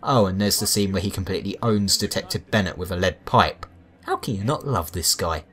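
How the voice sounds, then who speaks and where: A man's voice: low-pitched, brisk, a bit bright. A man giving a scripted video game review with wry, sarcastic asides. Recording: music behind the voice. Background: background music.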